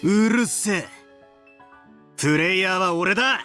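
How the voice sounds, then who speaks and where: A man playing a brash, defiant young hero in an anime-style visual novel, speaking in rough, cocky phrases. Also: sits high, a little fast, sharp tone, faint music behind. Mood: defiant shouting. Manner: rough, cocky masculine speech.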